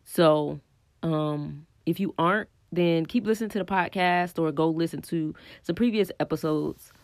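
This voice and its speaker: A woman's voice: low voice, normal speed, no echo, studio quality. A woman hosting her own podcast, talking through a cold with a stuffy nose and scratchy throat, earnest and encouraging as she thinks aloud with plenty of ums. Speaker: podcast host. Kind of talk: casual solo podcast monologue on spiritual growth.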